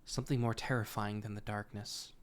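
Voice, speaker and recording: A man voicing a brooding young wanderer who narrates his inner darkness in a solemn, measured, introspective way. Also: lowish voice, little pitch movement, lightly acted.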